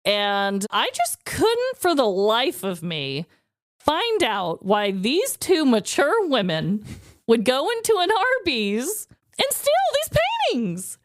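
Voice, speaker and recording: Playful woman chatting on a podcast, highish voice that moves around a lot, super dry sound. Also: huge pitch swings, slow pace, dry recording. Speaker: podcast co-host. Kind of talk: casual comedic true-crime podcast chat.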